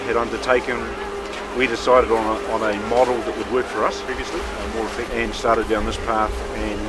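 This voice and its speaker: Halting New Zealand man, lowish voice. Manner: halting, many restarts. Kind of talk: interview answers. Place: outdoors at a ski field. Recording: loud outdoor noise.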